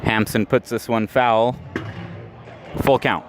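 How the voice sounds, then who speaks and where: A male baseball announcer calls the game pitch by pitch in a steady, medium-pitched voice. His delivery stays measured and jumps up when a big play happens. The mic was at an outdoor ballpark, with faint background noise. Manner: measured, rises sharply on big plays.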